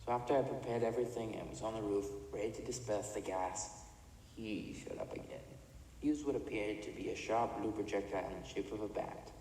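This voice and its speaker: An actor in an audio drama playing a remorseless older criminal chemist, speaking in a measured, haughty, matter-of-fact way. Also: male voice, on the low side, dark tone, close to an everyday voice. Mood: cold, matter-of-fact. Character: cold, remorseless older male villain, an imprisoned mad chemist. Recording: echoey reverberant room, distant, faint noise.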